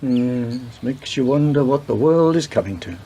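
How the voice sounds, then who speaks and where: A man's voice: mid-range voice, animated, normal speed, warm tone, natural voice. A world-weary male police chief inspector played by an actor in a radio drama. He speaks in a mid-range voice with dry, probing, clipped questions. There is noticeable ambient noise behind him. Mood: businesslike. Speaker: actor in a community radio play.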